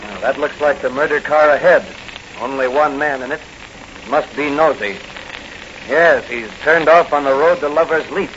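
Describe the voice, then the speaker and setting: An actor playing a bold, commanding masked crime fighter in a declamatory 1940s radio style. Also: male voice, a little high, moderate pace, fairly bright tone, lightly acted. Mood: determined. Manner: declamatory, thinking aloud. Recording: muffled, noisy old radio recording.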